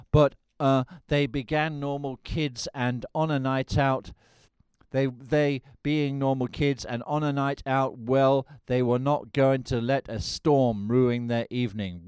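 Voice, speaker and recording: A man voicing a grave, pompous older male storyteller, speaking slowly and solemnly with hesitant restarts. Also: medium pitch, darkish tone, clear, resonant tone, no room sound, natural voice. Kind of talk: parody of a musical film.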